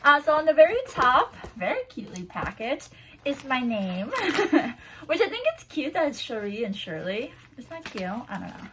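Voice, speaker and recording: Giggly excitable woman doing an unboxing, kinda high voice, bounces all over. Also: moderate pace, a little hiss or hum. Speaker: vlogger unboxing a swap box. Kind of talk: casual unboxing chat to camera. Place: indoors at home, seated on a bouncy ball.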